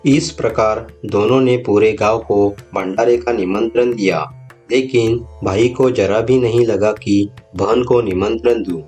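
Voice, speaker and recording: A man's voice: fairly low pitch, fairly even intonation, unhurried, darkish tone. A man narrating a scripted moral story as its storyteller. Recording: music bed behind the voice.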